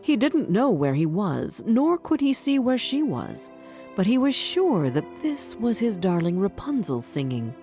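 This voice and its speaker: A woman storyteller giving scripted fairy-tale narration for children in an expressive, deliberate way. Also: medium pitch.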